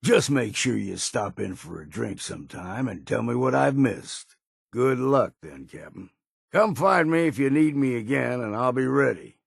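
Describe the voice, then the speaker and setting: An actor playing a grizzled old hard-drinking islander in a video game, with a gravelly, husky voice and a wry, folksy drawl. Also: male voice, mid-range voice, big pitch swings, moderate pace, no echo, studio quality, full character voice. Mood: resigned, wry. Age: older. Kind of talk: video game character voice lines.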